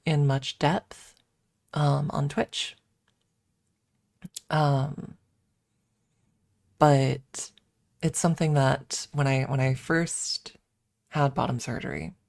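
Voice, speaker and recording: A woman's voice: very deep voice, gravelly, darkish tone, no echo. A woman streamer chatting casually on an ASMR stream, rambling and candid as she explains things, close to the microphone. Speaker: livestream host and ASMR streamer.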